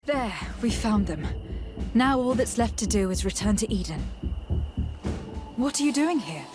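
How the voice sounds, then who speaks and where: A woman playing a calm, diplomatic young noblewoman envoy in a video game, speaking in a measured, formal and firm way. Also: a little high, music in the background. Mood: calm, reassuring. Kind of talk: video game dialogue acting.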